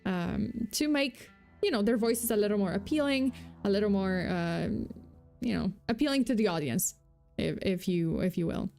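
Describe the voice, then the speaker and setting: A woman's voice: mid-range voice, lively intonation, moderate pace, gravelly, darkish tone, faint music behind. Chatty woman with a light Polish accent. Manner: chatty, full of fillers and self-corrections, reads chat aloud. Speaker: VTuber host. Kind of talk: casual true-crime livestream chat.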